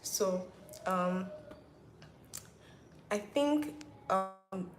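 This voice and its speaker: A woman's voice: medium pitch, lively intonation, drawn-out words, music in the background. A Nigerian woman in her twenties chatting casually on a livestream, reading viewer comments aloud and often saying "like". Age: 20s. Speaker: YouTuber hosting a live Q&A. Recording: roomy echo.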